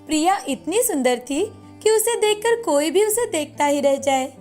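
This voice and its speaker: High-pitched woman storyteller over music. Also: lively intonation, moderate pace, darkish tone. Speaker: narrator of a moral story. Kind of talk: scripted storytelling narration for a children's cartoon. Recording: music bed behind the voice.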